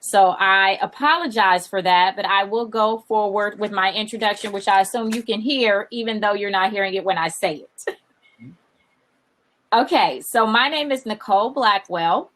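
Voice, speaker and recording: A woman's voice: mid-range voice, a bit bright. Articulate woman English professor. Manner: polite, articulate, apologetic. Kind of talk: online workshop introduction. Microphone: video call.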